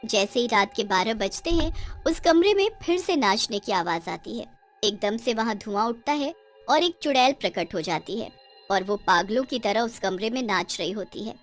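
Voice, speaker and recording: A woman's voice: fairly high pitch, expressive, moderate pace, faint music behind. A woman reading dramatic scripted narration for a cartoon horror story.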